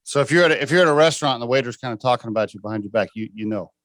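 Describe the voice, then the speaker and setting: A man's voice: medium pitch, very fast, a bit bright, no room sound, studio quality. A man hosting a podcast, asking casual, chatty interview questions. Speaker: podcast host.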